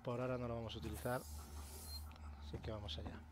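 A man narrating his horror game run in a relaxed, joking way, reading in-game text aloud. Game noise runs clearly behind him. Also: mid-range voice, fast. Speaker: gamer commentating a playthrough. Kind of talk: casual let's play commentary.

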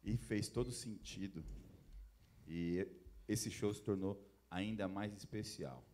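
A man addressing the audience from a concert stage on a handheld mic, earnest and thankful. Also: low-pitched. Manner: earnest, thankful, slowing down at times. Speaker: band member speaking from stage. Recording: live concert sound with loud music. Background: live band playing.

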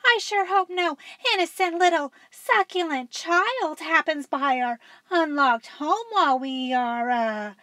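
A woman voicing a scheming mother dinosaur from a storybook, speaking with sweet, drawn-out fake innocence. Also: sits high, sharp tone, no room sound, voice pushed up. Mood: feigned innocence.

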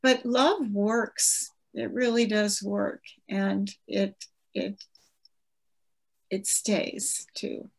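A woman in her seventies talks reflectively over a video call. She is an author answering audience questions, gentle and unhurried, often restarting a phrase before going on. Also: medium pitch, steady tone, gravelly. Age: 70s. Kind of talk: virtual book event conversation.

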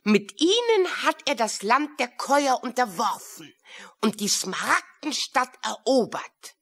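High, bright talking crow. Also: female voice, big pitch swings, husky, full character voice. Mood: dramatic, reporting. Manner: theatrical, deliberate delivery with caws. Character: excitable talking female crow, messenger and friend. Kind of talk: children's audio play acting.

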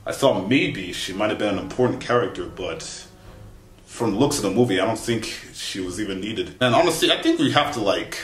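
A young man giving a casual, animated spoken review to camera in a conversational, slangy way. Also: fairly low pitch, brisk, roomy sound, faint music behind. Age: young adult. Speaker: film reviewer. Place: small wood-furnished bedroom, indoors.

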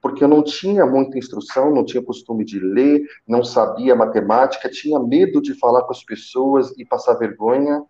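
A man in his thirties talks earnestly through a headset on a video call and often repeats words as he restarts. His voice is mellow and dark. The call audio sounds muffled and echoes in the room. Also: mid-range voice, brisk, few pauses. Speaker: senior call center manager, guest. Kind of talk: live video chat, telling his career story.

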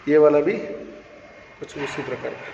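A man's voice: fairly high pitch, slow pace, vocal fry, dark tone, big-room sound, dull audio, slight background noise. A man giving a casual walkthrough of his cable tray work in a large indoor server room, explaining step by step while filming on a handheld phone. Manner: explanatory, pointing things out step by step. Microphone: handheld phone camera.

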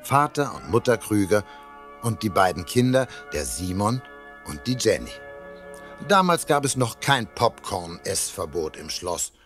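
A man's voice: on the low side, sing-song, a little slow, fairly bright tone, faint music behind. A man narrating a children's audio drama in a scripted storytelling style. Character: storytelling narrator and castle tour guide.

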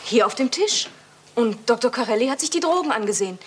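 A woman's voice: a little high, expressive, fast talker, a little hiss or hum, lightly acted. An actress playing a frank, chatty young woman of the household in a German crime radio play. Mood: frank, casual.

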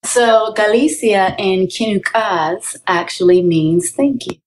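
A woman guest on a podcast chatting casually, bubbly and quick to laugh. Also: mid-range voice, a little hiss or hum, low-quality recording. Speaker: guest actor. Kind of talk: casual chat over a call.

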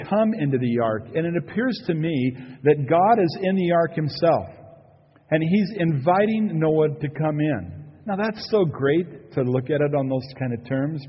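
A man's voice: fairly high pitch, husky, long reverb tail, muffled recording. A male pastor giving an earnest, conversational sermon, often saying "you know". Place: church sanctuary.

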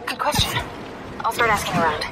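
An actress voicing a brisk, alert young woman, a superhero's ally, in a video game. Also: mid-range voice, animated, over a noisy crowd, very noisy, lightly acted. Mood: concerned. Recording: voice under loud game music.

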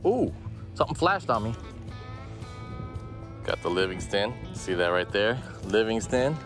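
A man's voice: lowish voice, very animated, a little slow, over music. A man in his forties, a fishing vlogger, talking casually to a handheld camera outdoors by a canal, a bit out of breath from the heat. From South Florida. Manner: chatty, self-talking, upbeat. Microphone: handheld camera at arm's length.

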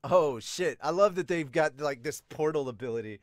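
A man's voice: on the high side, lively intonation, moderate pace, no echo, clean studio sound. A man in his thirties chatting casually as a podcast host, talking to camera about manga. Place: small bedroom, indoors. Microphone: desk microphone, webcam setup.

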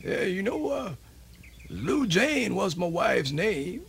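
An old Southern storyteller in a radio drama, his high, creaky voice swooping widely. He rambles in a folksy drawl, circling back over his own words. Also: sing-song, slow, a bit bright, heavily acted. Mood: slow, muddled. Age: older. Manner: rambling, repeats himself, folksy. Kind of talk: radio theatre monologue.

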